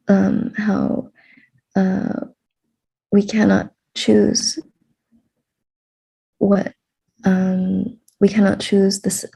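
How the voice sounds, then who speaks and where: A woman interviewing an artist, speaking in a thoughtful, measured way in a casual conversation. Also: medium pitch, vocal fry, mellow, slightly rough, low-quality recording.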